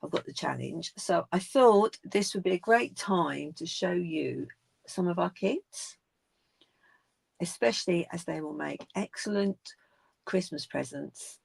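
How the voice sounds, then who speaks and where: An older woman with a croaky, gravelly voice talks slowly through a craft demonstration. She unpacks a card kit in a chatty, unhurried way, naming each piece as she goes. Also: lowish voice, no echo. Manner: chatty, unboxing items one by one. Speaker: craft video host.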